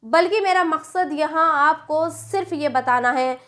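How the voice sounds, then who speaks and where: A woman's voice: sits high, a little slow, a bit bright. A woman presenting scripted political commentary, explaining things directly to the viewer. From India.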